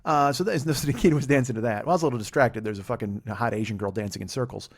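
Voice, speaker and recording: A man's voice: medium pitch, racing. A man in his forties hosting a comedy podcast, talking in a loose, rambling monologue that trails off into fillers and swears freely. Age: 40s. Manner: self-mocking.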